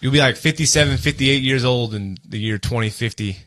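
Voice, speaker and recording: Bright-voiced man bantering on a podcast. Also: mid-range voice, a little fast. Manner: jokey, slangy, breaks into snatches of song. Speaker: podcast co-host who is also in a band.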